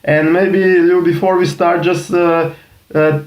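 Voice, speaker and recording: Higher-voiced male host, echoey room. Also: little pitch movement, takes it slowly, darkish tone. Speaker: interview host. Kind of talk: conversational interview questions.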